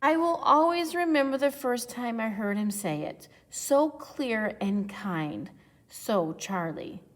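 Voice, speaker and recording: Lady reading a kids book out loud, slow and expressive, lots of echo. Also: fairly high pitch. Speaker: storybook reader. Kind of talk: read-aloud of a children's picture book. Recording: echoey, long reverb.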